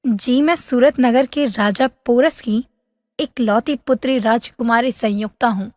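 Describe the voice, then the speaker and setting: A woman playing a young, dreamy princess in a Hindi fairy tale, speaking in a soft, expressive, dramatic way. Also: on the high side, a little slow, no echo, clean studio sound, in a large hall, natural voice. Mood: gentle.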